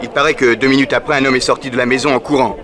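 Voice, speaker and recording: A man playing a businesslike policeman in a 1960s French radio drama, reporting briskly and respectfully, with a factual manner. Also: medium pitch, steady tone, natural voice. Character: deferential, businesslike male policeman reporting to detective. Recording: street crowd behind the voice.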